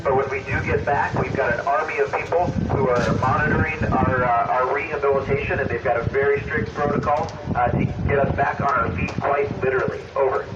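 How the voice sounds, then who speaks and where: A man speaking as an astronaut over amateur radio, explaining things in an upbeat, slightly halting way. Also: mid-range voice, fairly even intonation. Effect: radio transmission. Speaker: astronaut answering questions by radio. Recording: noisy, echoey, dull amateur radio link, distant.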